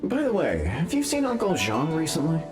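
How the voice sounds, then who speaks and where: An animated, swooping voice plays an easygoing spirit in a video game. The delivery is breezy and delighted, with music clearly behind. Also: medium pitch, fairly quick. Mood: breezy, content. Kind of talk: video game character acting.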